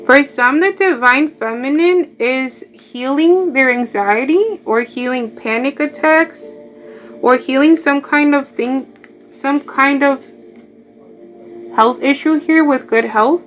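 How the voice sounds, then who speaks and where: A woman's voice: sits high, takes it slowly, a little hiss or hum. A woman from Mexico with a Mexican Spanish accent, a native Spanish speaker, giving a chatty live tarot reading and drifting into asides. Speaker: tarot reader. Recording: dull lo-fi audio, faint music.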